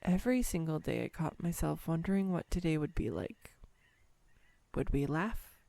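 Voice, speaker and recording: A woman's voice: sits low, lively intonation, normal speed, creaky, warm tone. A woman playing a clumsy, sweetly awkward young woman smitten on a first date, speaking tenderly and playfully to the listener in a romantic audio roleplay. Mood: tender, sincere. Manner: tender, playful, hesitant, self-deprecating. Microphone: close USB microphone, dry room.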